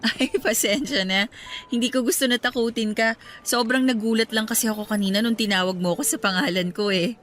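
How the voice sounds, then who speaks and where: A woman playing a gentle, wistful grieving woman who talks softly and hesitantly, in a radio drama. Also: on the high side, fairly even intonation, a little fast, lightly acted.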